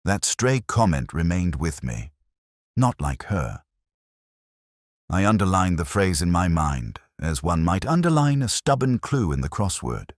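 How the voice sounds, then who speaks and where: A man narrating a literary detective story as a brooding, world-weary inspector, in a measured, reflective and formal manner. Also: sits low, very animated, dry recording, studio quality. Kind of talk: literary first-person scripted narration.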